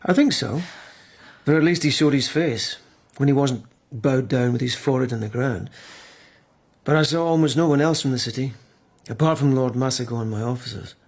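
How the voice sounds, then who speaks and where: Guy acting an investigator at an old imperial court, slightly husky, measured and goading, radio drama. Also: mid-range voice, a little mellow, close to an everyday voice. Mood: thoughtful. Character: male court investigator, probing, mannerly but goading.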